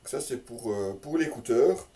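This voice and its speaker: A man hosting a casual, unscripted product presentation, chatty and tongue-in-cheek, with a halting delivery that repeats words. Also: mid-range voice, lively intonation, mellow, recorded from a distance. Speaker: host of a motorcycle gear unboxing channel.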